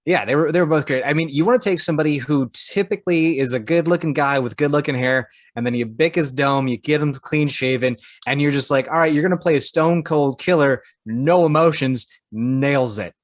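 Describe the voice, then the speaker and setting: A man's voice: on the high side, fairly quick, hardly any pauses, no echo. A man co-hosting a podcast, talking casually and emphatically in slangy, informal phrasing. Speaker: podcast co-host. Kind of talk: casual chat about movies and games.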